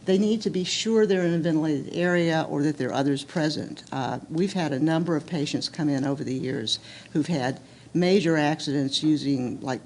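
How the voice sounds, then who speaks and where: A woman's voice: sits low, a little mellow, a little hiss or hum. An American woman speaking at a medical seminar, practical and direct, with frequent ums and uhs. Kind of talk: seminar talk.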